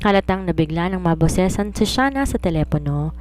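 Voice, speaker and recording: Low-pitched Filipino woman storyteller. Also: expressive, brisk, darkish tone. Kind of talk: scripted narration of a romance novel.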